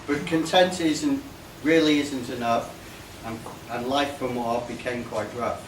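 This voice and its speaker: A man reading a comic rhyming poem aloud in a measured way, stumbling now and then. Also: medium pitch, fairly even intonation. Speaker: party guest reading a tribute poem. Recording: echoey, noisy room, distant microphone.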